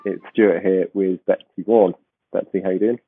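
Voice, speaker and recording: A man hosting a podcast, with a croaky voice and a rambling, restarting way of talking. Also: low-pitched, fairly even intonation, fairly quick, faint music behind. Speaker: podcast host. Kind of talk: casual podcast chat. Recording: tinny phone-like line.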